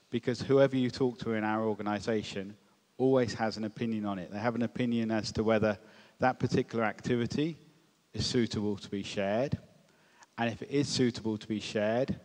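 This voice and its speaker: Measured man telling a story on stage. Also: lowish voice, a little mellow. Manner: measured, anecdotal. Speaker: panelist. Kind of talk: conference panel discussion. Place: large hall stage. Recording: long hall reverb.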